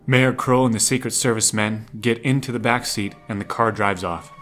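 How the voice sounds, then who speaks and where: Guy narrating a scripted audio drama, kinda low voice, light music behind. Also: steady tone, normal speed, fairly bright tone.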